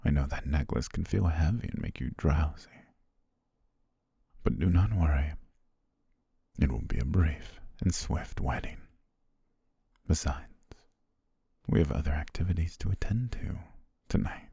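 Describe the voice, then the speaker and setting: A man voicing a devoted male butler marrying his princess, speaking formally in a soft, half-whispered way that hints at quiet control. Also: a bass voice, lively intonation, measured pace, creaky, pauses often, slightly rough, dry recording, studio quality, exaggerated voice. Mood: tender, hushed. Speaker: ASMR roleplay voice actor. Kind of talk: intimate ASMR roleplay monologue.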